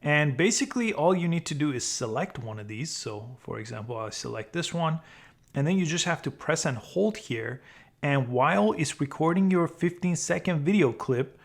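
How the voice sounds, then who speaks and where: Man narrating a how-to tutorial. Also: mid-range voice. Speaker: tutorial host.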